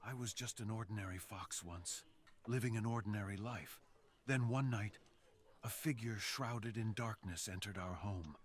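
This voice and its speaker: A man voicing a brooding, seductive fox assassin in an intimate ASMR roleplay, speaking slowly and earnestly with dramatic pauses. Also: on the low side, close to an everyday voice. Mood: sombre, wistful. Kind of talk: ASMR roleplay, slow intimate scripted monologue.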